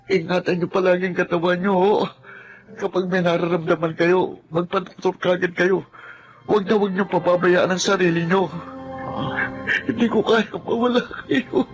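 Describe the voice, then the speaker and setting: A man's voice: high voice, steady tone, moderate pace, highs cut off, slight background noise, natural voice. A man acting an anxious, grateful orphaned nephew in Filipino radio-style drama, earnest and emotional, with a Filipino accent. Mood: pleading.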